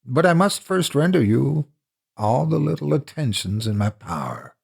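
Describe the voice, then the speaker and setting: A man voicing a coldly vengeful gentleman murderer in a gothic horror story, theatrical and mockingly courteous to his victim. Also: mid-range voice, very animated, normal speed, creaky, husky, pitched above the performer's own voice, exaggerated voice. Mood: mocking courtesy.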